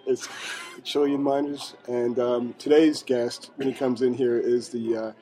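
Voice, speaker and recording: A man's voice: medium pitch, little pitch movement, warm tone. A man hosting a casual podcast chat from a restaurant, talking in a chatty, easygoing way. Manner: chatty and quick to laugh. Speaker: podcast host.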